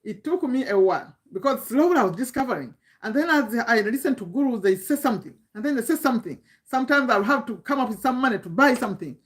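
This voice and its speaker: A woman's voice: on the high side, lively intonation, fast, few pauses. A woman in her fifties with a Ugandan accent gives an informal motivational talk as a coach, emphatically repeating phrases and asking rhetorical questions. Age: 50s. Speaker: online business coach.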